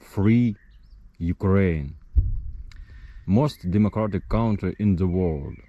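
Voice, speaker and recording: A man's voice: sits low, slow pace, warm tone, highs cut off. A man, hosting an interview in broken English and asking pointed questions. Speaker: interviewer and channel host. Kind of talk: casual interview.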